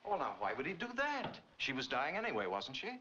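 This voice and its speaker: A man's voice: mid-range voice, huge pitch swings, fairly quick, bright, forceful tone. An actor in his thirties playing a wary, blunt, skeptical American friend in a 1940s film noir. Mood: incredulous. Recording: dull, hissy 1940s film soundtrack.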